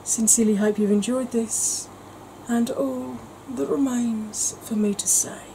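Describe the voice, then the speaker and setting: A woman's voice: on the high side, fairly even intonation, unhurried, slight background noise, low-quality recording. A woman, chatting casually and wandering off topic in a home makeup vlog. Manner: rambling, self-mocking, soft-spoken at times. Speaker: makeup vlogger. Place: indoor home room, kitchen.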